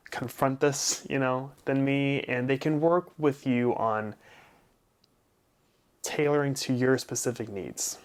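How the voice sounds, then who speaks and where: A young man explaining his personal experience to viewers, stuttering with repeated sounds, blocks and prolonged, deliberate phrasing. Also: medium pitch. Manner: deliberate, smoothly prolonged speech between stutters. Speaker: vlogger sharing personal experience. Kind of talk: explanatory personal talk to camera.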